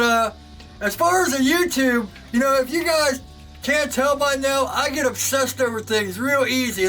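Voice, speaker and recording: A man with a very high, bright voice talks excitedly to his camera, projecting loudly and breaking into laughter. He's recorded from some distance in his workshop, with faint music underneath. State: sore throat. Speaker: knifemaker and channel host. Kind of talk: casual talk to camera. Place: home workshop.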